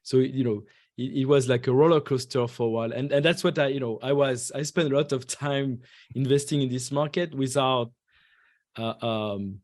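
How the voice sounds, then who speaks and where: A man in his forties, born and raised in France and speaking English as a second language, answers interview questions in a halting way, full of fillers and restarts. His voice sits at an ordinary pitch and sounds close and dry, with no room around it. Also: a little mellow, dry recording. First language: French. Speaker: guest investor. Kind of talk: podcast interview.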